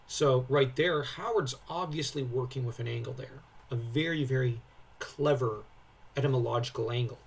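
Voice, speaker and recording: A young man with a somewhat husky voice speaks at middle pitch, a little away from the microphone. He chats casually as a co-host, enthusiastic and prone to wandering off on tangents. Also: normal speed, recorded from a distance. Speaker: co-host commentator. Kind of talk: casual lore breakdown commentary.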